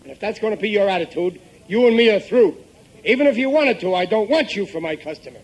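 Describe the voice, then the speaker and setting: A man's voice: high-pitched, expressive, fast talker. An actor playing a stubborn, petty working-class family man, folksy and blustering, in an old-time radio sitcom. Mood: pushy. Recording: noisy vintage 1950s radio broadcast.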